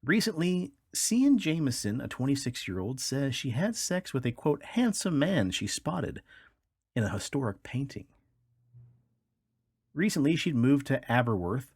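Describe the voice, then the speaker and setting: A man's voice: mid-range voice, big pitch swings, unhurried, a little mellow, breathy edge, no room sound, studio quality. A man hosting a podcast, reading odd news stories aloud in a wry, tongue-in-cheek way. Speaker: podcast host.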